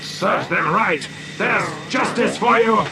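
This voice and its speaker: Man doing a creaky, blustering excitable professor. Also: on the high side, moderate pace, background noise, pitched above the performer's own voice. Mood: gleeful. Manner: roaring, exclamatory. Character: excitable, blustering older male professor, gleefully combative.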